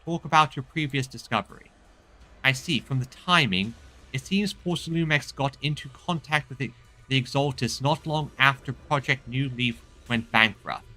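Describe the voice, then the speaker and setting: A man commentating on a video game as a streamer, reading dialogue aloud and reacting in an animated way. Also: mid-range voice, moderate pace, soft background music, rough recording.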